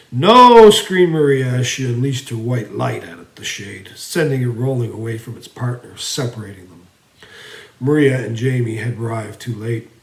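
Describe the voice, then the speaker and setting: A man narrating a fantasy story theatrically, swinging between emphatic and hushed delivery. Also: medium pitch. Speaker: storyteller reading own fantasy series. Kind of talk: dramatic fantasy audiobook narration. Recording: roomy, echoing voice recording.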